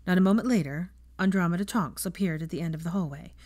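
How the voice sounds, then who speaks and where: A woman's voice: on the low side, quick pace, dark tone, no room sound. A woman narrating a scripted story as an audiobook reader.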